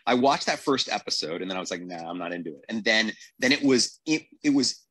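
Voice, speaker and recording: A man with a fairly low, husky voice that still cuts through brightly. He chats casually like a podcast storyteller, voicing reactions along the way. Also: expressive, quick pace. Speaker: podcast host. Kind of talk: casual chat.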